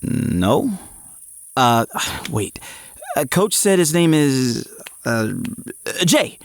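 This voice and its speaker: An actor playing an eager, frazzled young male athlete, narrating his own story in an audio drama with expressive, at times hesitant delivery. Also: medium pitch, drawn-out words, no room sound, clean studio sound, exaggerated voice. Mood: curious, awkward. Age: young adult. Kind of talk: first-person audio drama narration and dialogue.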